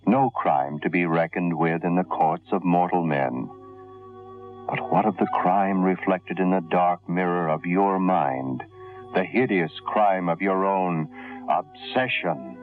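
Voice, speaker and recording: A man playing an ominous, portentous narrator of crime tales in a theatrical 1940s radio style. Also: sits low. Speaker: announcer and narrator. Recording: tinny old radio, loud dramatic music.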